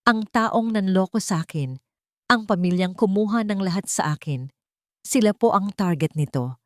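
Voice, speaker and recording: A woman voicing a wronged young wife who is calm, cold and determined, speaking steadily in an audio drama. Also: medium pitch, normal speed, no echo, studio quality, lightly acted. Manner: earnest, steady, unwavering.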